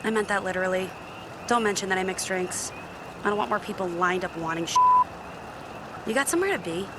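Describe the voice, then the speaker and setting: A woman voicing a jaded genie bartender in a video game. She sounds guarded and sarcastic, with a blunt, casual delivery at a middle pitch, over clear background noise. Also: animated, a little fast, lightly acted. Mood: sassy, blunt. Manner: blunt, dry, sassy. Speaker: video game romance character. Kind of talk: scripted game dialogue, casual.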